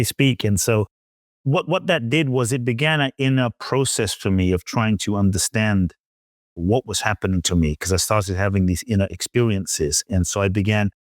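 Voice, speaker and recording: A middle-aged man from London, a spiritual teacher, telling his story reflectively as an interview guest in measured, emphatic short phrases with some repetition. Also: lowish voice, no room sound, studio quality. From London, England.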